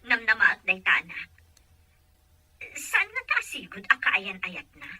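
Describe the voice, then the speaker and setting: A woman playing a caring mother with a husky voice in an audio drama. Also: medium pitch, wildly swooping pitch, a little slow, very noisy. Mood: gentle counsel. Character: caring, philosophical mother counselling her grown son.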